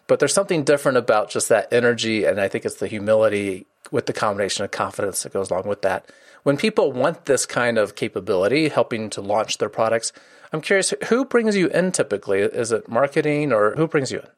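A man hosting an interview podcast, asking questions in a quick, articulate, steady way. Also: mid-range voice. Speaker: podcast host.

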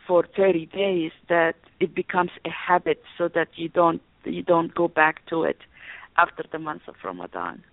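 A woman's voice: on the low side, no room sound. A woman from Sudan, a podcast guest speaking reflectively and restarting her sentences often. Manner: reflective, frequent restarts and fillers. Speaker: podcast guest, university instructor. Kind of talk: conversational interview. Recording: tinny phone-like line, dry.

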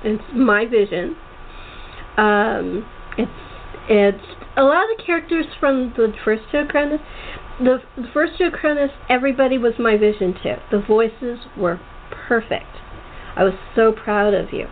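A high-pitched woman chats casually as an interview host. Her manner is conversational and chatty. She comes through a thin, phone-like line with some hiss. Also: unhurried. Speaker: podcast host interviewing a guest; writer.

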